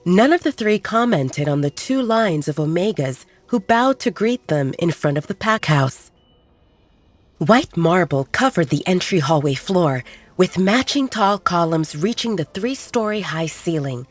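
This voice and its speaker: A woman reading a romance story aloud as a calm, even narrator. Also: lowish voice, measured pace. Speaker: audiobook narrator.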